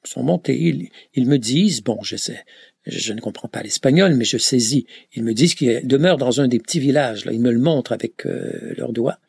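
A man recounting his pilgrimage in a slow, hesitant way, full of filler sounds and restarts. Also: medium pitch, gravelly, a little mellow, breathy edge, dry recording, clean studio sound. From Canada. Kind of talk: reflective travel account.

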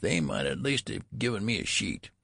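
A man's voice: lowish voice, very animated, moderate pace, gravelly, pushed and bright, slightly rough, dry recording, voice pushed up, full character voice. A man voicing a querulous, complaining old man in a storybook, with a cracked, whining, ancient voice. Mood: demanding. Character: querulous old man who is a newborn baby. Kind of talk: audiobook character voice.

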